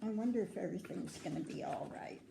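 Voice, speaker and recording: An older woman with an American accent reads a picture book aloud to children in a grandmotherly way, pausing to put questions to her young listeners. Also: lowish voice, creaky, background noise. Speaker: children's story reader. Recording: roomy, echoing indoor sound.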